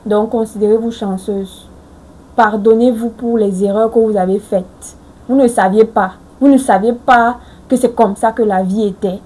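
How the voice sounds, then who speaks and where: A woman's voice: on the high side, fairly even intonation, moderate pace, warm tone. A woman in her forties giving earnest, reassuring life advice straight to her viewers. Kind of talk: motivational talk to camera.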